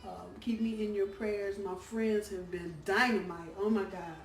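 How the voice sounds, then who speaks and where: A hoarse woman giving a casual weekly tarot reading, chatty and full of ums and uhs. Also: medium pitch, steady tone, a little slow. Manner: chatty, warm-hearted, lots of ums and uhs. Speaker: tarot reader. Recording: roomy, distant from the mic, faint background hum.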